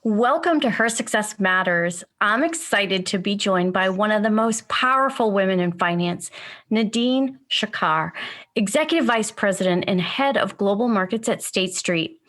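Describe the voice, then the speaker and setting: A woman's voice: mid-range voice, animated, normal speed, fairly bright tone. A woman hosting a podcast, reading a scripted intro and then chatting with her guest in an upbeat, enthusiastic way. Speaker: podcast host.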